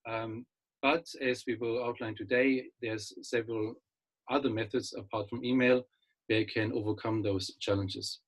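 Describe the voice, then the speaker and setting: A middle-aged man with a German accent gives a relaxed webinar talk through a computer mic. His voice is slightly husky and sits at an ordinary pitch. He restarts sentences often and fills pauses as he goes. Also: rough recording. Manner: hesitant, many fillers and restarts, friendly. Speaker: guest speaker, business lecturer. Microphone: computer mic on a video call.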